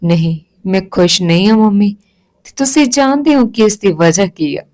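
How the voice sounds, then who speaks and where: A woman's voice: mid-range voice, normal speed, a little mellow, lightly acted. A woman voicing a wounded, stubborn young daughter who directly and insistently questions her mother in a family story. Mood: defiant.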